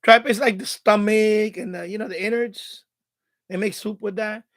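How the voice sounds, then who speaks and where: High-pitched New man. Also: steady tone, moderate pace, a bit bright, no echo, studio quality. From Bronx, New York City, USA. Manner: conversational, repeats himself, thinks aloud. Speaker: live stream host. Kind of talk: casual rambling live-stream chat.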